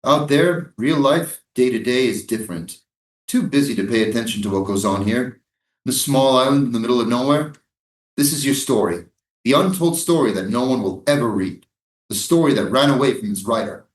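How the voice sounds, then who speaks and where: An actor in a live staged reading playing a menacing, insinuating American military commander at a prison camp. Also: male voice, mid-range voice, expressive, moderate pace, echoey room, natural voice, poor audio. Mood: taunting.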